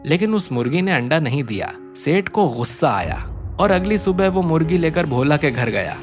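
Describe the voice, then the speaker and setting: A man's voice: fairly high pitch, big pitch swings, normal speed. A man narrating a scripted cartoon story as its storyteller. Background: music.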